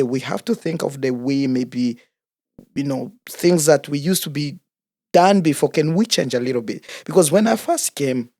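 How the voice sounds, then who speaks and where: A man in his thirties from Congo talks as an interview guest about his coaching work. His voice sits at a middle pitch and comes across close and dry, with no room sound. He speaks earnestly and at an unhurried pace, with some fillers along the way. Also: darkish tone, dry recording. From Democratic Republic of the Congo. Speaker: guest, soccer coach and organizer. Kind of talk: casual interview conversation.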